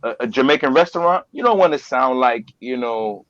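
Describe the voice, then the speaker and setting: A man's voice: medium pitch, animated, brisk, a bit bright, no echo. A man speaks casually in a mid-range voice, as a guest in a conversation. He often repeats words and phrases to drive a point home. The sound is dry and somewhat dull, with little room around it. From Trinidadian family. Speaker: guest, voice-over performer and business owner. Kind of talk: casual chat. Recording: dull lo-fi audio.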